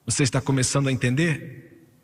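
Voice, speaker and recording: A man preaching a sermon emphatically, slowing and rising on key phrases and joking with the congregation. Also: medium pitch, steady tone. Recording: long hall reverb.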